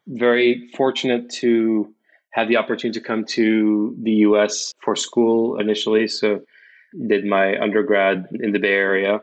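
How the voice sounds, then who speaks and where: A man in his forties from Morocco, a company founder telling his story as an interview guest in a measured, reflective way with fillers and restarts. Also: lowish voice, lo-fi sound. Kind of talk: casual interview.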